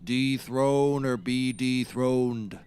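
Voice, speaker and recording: An actor playing a folksy, dryly sarcastic backwoods man in a scripted comedy, with deadpan delivery. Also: mid-range voice, extremely slow, heavy background noise. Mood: wry. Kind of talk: scripted audio comedy acting.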